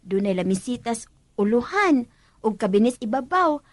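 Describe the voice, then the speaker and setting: A woman's voice: on the high side, normal speed, no room sound. A woman with a Filipino Cebuano accent acting a bossy, gossipy senior housemaid in a radio drama. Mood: matter-of-fact, explaining. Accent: Filipino, Cebuano-speaking. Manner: animated, chatty, admonishing.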